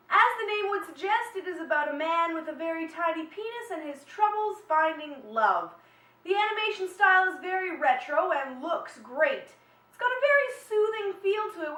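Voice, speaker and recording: A woman's voice: very high voice, sharp tone. A woman hosting a vlog in an upbeat, chatty, enthusiastic style of review commentary. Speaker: animation vlog host reviewing short films. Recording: echoey room, distant from mic.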